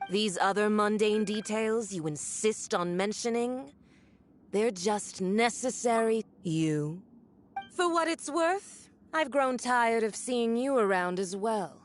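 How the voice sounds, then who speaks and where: Haughty, powerful villainess for a video game: slow, theatrical, condescending delivery, mid pitch, music underneath. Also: female voice. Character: haughty, cruel, elegant villainess, a powerful diplomat.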